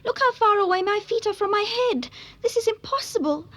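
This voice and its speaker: A woman voicing a curious, polite little girl in a cartoon, with a proper, expressive and dramatic manner. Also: very high-pitched, a little fast, noisy background. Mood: alarmed. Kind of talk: animated cartoon dub acting.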